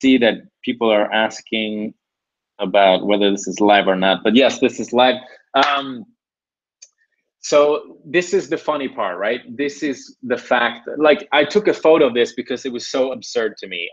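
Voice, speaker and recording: A man's voice: mid-range voice, normal speed. A young man from Sweden hosting a live webinar, talking casually with lots of "you know" and "right". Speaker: webinar host. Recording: dull lo-fi webinar audio.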